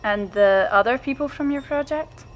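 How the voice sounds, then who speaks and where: An actress playing a timid junior research assistant, hesitant and deferential toward a superior in an audio drama. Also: female voice, high voice, normal speed, close to an everyday voice. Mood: uneasy, questioning. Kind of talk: scripted audio drama dialogue.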